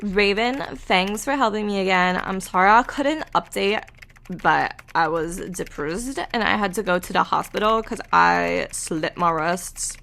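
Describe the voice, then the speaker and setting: A woman voicing a ditzy, angsty teenage goth girl writing author's notes, in a slow, airy, vapid delivery. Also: mid-range voice, creaky, fairly bright tone.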